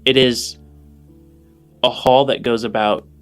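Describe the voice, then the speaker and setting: Halting male game master over soft music. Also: medium pitch, fairly even intonation, smooth. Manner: halting, rephrasing as he describes. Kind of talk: casual improvised tabletop game narration.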